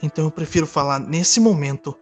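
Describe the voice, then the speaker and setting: A man's voice: a little high, fairly quick, lo-fi sound, natural voice. Man acting earnest lovestruck young man over music. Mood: tender, earnest. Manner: earnest, dramatic. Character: lovestruck young man confessing his love, earnest and nervous. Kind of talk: audio drama acting. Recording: music under the voice. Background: background music.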